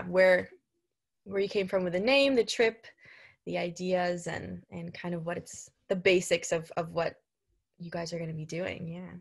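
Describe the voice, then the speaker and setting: A woman hosts a podcast interview in casual conversation. Her voice sits a bit low as she talks informally with her guests. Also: gravelly. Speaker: podcast host.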